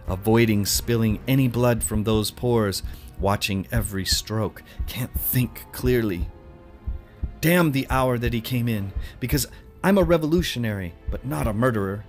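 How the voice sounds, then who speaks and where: Low-voiced man reading a dramatic story. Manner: deliberate pauses, emotive emphasis. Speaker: short story reader. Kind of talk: dramatic first-person audiobook narration.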